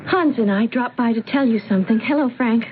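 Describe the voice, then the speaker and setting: An actress playing a tender, earnest young bride-to-be in a radio drama. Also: female voice, a little high, a little fast, lightly acted. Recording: tinny, noisy old radio broadcast.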